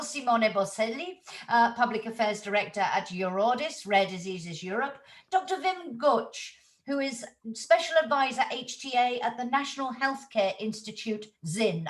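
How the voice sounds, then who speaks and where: A woman's voice: on the high side, a bit bright. A woman moderating a conference panel, fluent and polished as she introduces speakers.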